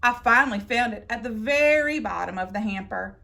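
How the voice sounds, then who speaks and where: A woman reading a children's picture book aloud in an expressive, theatrical way, drawing out words for emphasis. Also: on the high side, creaky. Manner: theatrical, deliberate phrasing with drawn-out emphasis. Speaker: storybook reader.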